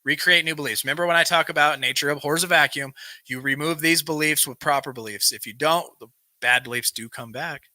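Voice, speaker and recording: A man with a very bright, forceful voice, pitched a little high, hosts a recovery talk show. He reads self-help passages aloud and drops into casual asides. The sound is close and dry. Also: a little fast, no echo, poor audio. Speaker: host of a live recovery show.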